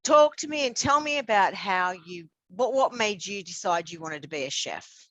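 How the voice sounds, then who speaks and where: A woman's voice: medium pitch, expressive, moderate pace, a bit bright, highs cut off. A woman hosting an interview, chatty and encouraging, affirming her guest often. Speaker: interviewer, host. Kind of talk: casual interview chat.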